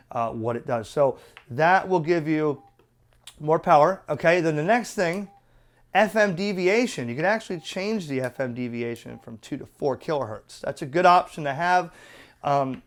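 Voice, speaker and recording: A man presenting a casual, unscripted hands-on product review, talking bluntly and colloquially as he explains things step by step. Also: mid-range voice.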